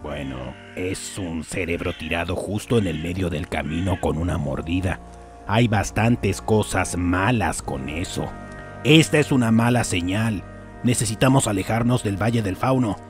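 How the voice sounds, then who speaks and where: A man's voice: sits low, unhurried. A man voicing an anxious, fussy flying sidekick who worries aloud and makes dry sarcastic remarks, in a comic fan dub. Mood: worried. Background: background music.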